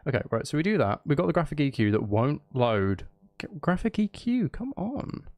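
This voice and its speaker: A man's voice: fairly high pitch, very animated, normal speed, a little mellow. A man livestreaming casually, rambling and thinking aloud as he chats with viewers. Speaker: livestreamer making music live. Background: music and synth sounds.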